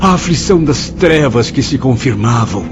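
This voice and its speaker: A man's voice: mid-range voice, lively intonation, normal speed, natural voice. An actor with a Brazilian accent playing the anguished spirit of a dead male novelist in a radio drama, lamenting in eloquent, theatrical speech. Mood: tormented, melancholic. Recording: dull lo-fi audio with music.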